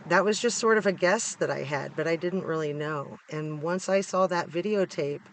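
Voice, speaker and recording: A middle-aged American man speaks in a hoarse, stuffed-up voice that sits a little high. He talks informally and emphatically, like a vlogger sharing opinions with viewers. The recording is made inside a car, with some faint background noise. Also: moderate pace. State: hoarse, congested from a cold. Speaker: true-crime vlogger. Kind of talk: casual opinion commentary to viewers.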